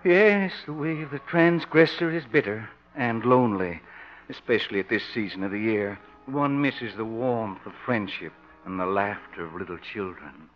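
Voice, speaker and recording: An actor playing a polite, lonely ex-safecracker with courtly, formal manners in a 1950s radio comedy. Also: male voice, fairly low pitch, normal speed, natural voice. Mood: wistful, lonely. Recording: dull lo-fi 1950s radio recording.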